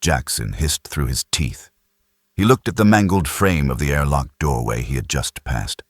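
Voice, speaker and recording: A man's voice: a bass voice, normal speed, slightly rough, dry recording, studio quality. A man narrating a sci-fi audiobook in a grave, dramatic, suspenseful storytelling style.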